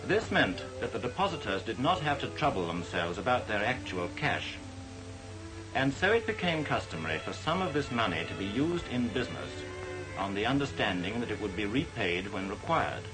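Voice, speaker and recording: A man giving formal, measured, didactic narration in the style of a 1940s educational film. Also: fairly low pitch, sing-song, low-quality recording. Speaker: documentary narrator. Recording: vintage 1940s film soundtrack. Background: music.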